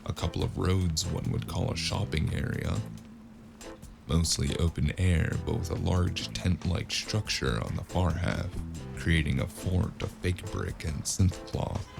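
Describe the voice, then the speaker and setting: A man's voice: low voice, steady tone, gravelly, full character voice. An actor playing a wry, world-weary cyberpunk freelancer who narrates his own noir tale in a sardonic, musing way. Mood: wry, brooding. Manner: sardonic, musing, drawn-out first-person storytelling. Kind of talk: noir audio drama narration. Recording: music behind the voice.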